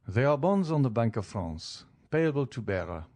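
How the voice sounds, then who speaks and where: A man's voice: medium pitch, sing-song, moderate pace, a little mellow. A man voicing a proud middle-aged banker who speaks formally and boastfully. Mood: proud, pleased. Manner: formal, boastful, then stammering.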